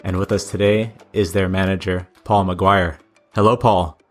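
A man's voice: low voice, steady tone, moderate pace, faint music behind. A man hosting a company podcast, opening the episode and asking his guest questions in an upbeat, friendly way. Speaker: podcast host. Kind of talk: podcast interview.

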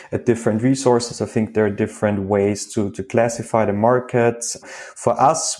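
A man in his thirties with an Austrian accent, speaking as an interview guest. His voice sits a little low, and he lays out ideas step by step, often pausing on "um" and repeating a word before going on. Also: a little mellow. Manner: explanatory, with frequent ums and repeated words. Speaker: guest, venture capital associate. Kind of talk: podcast interview.

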